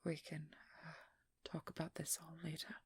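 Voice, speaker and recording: A woman playing a determined, guarded and protective vampire hunter in an intimate ASMR role-play, hushed and often whispering, with halting, earnest delivery. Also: sits low, completely flat delivery, husky, no echo. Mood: tender, subdued. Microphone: close microphone in a dry room.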